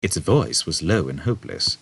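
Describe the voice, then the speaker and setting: A man's voice: sits low, lively intonation, moderate pace, breathy edge. A man narrating scripted fiction as an audiobook reader.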